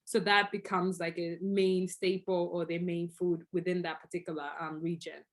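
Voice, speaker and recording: A young Tanzanian woman, Swahili her first language, speaking as an interview guest in an animated, explanatory way with many fillers. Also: on the low side, moderate pace. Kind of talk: conversational interview.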